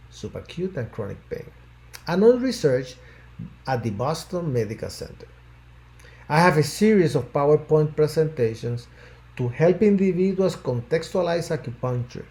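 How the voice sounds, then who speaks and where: An older man from Puerto Rico, a physician, giving a prepared self-introduction in a measured, formal way, phrases trailing off at the ends. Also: mid-range voice, warm tone. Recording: faint background noise.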